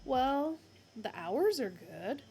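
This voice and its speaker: Woman voicing a dim alien guard, loud booming, slow, gravelly. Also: sing-song, slight background noise, heavily acted. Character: dim-witted bellowing alien guard, male, hulking.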